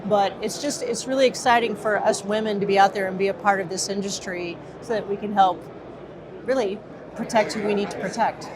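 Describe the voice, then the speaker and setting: A woman's voice: medium pitch, steady tone, fairly quick, noisy background. A woman from the Midwest, co-owner of a protection business, answering interview questions in an earnest way with frequent fillers.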